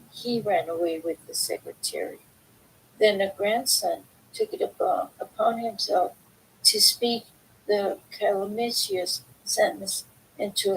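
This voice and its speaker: A woman reading book excerpts aloud, with halting, deliberate phrasing. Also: rough recording. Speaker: reader of a book. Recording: faint background noise, low rumble.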